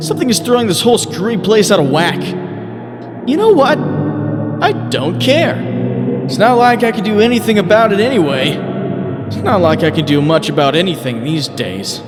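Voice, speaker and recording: Guy doing young smartass superhero for a comic dub, dramatic and wry, super animated, music behind. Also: sits high, normal speed. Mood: resigned, then startled. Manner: sardonic, quippy, theatrical inner monologue. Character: young wisecracking superhero, self-pitying yet dauntless. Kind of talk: comic dub character acting.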